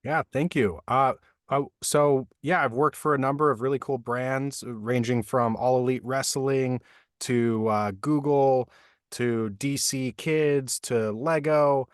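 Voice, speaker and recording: A man's voice: medium pitch, steady tone, takes it slowly, smooth, no echo. A man with an ordinary mid-range voice talks as an interview guest. He is friendly, with a few uh fillers, and the sound is dry and close. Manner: friendly, a little hesitant, with uh fillers. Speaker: voice actor guest. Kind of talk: casual interview talk.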